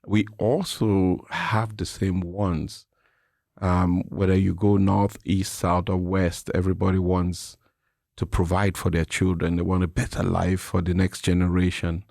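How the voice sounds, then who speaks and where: A middle-aged man with a Cameroonian English accent, speaking as an interview guest in a measured, reflective, explanatory way. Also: deep voice, steady tone, creaky, a little mellow, no room sound, studio quality. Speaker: interview guest, nonprofit president and CEO. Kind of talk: reflective conversational interview answers.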